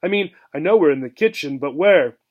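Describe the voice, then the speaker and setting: A man's voice: on the high side, lively intonation, fairly quick, dull audio. A man voicing an earnest, timid young female gerbil, eager and questioning, in a children's storybook. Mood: anxious.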